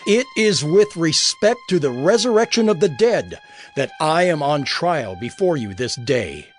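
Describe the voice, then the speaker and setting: An actor playing an earnest apostle on trial, giving an emphatic, oratorical defense in an audio Bible drama. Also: male voice, a little high, big pitch swings, a little slow, faint music behind. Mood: earnest, confident.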